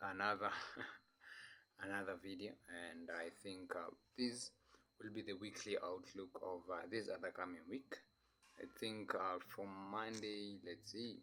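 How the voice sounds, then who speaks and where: A man's voice: fairly low pitch, fairly bright tone. A man with a flu talking through trading charts in a casual, hesitant way, with many fillers and restarts. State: has a flu. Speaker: trading analyst. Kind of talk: casual screen-share chart analysis.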